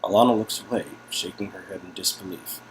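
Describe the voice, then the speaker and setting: A man's voice: low-pitched, steady tone, fairly quick, slight background noise, poor audio. A man co-hosting a casual read-through of a story game, narrating scenes and chatting. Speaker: co-host and narrator.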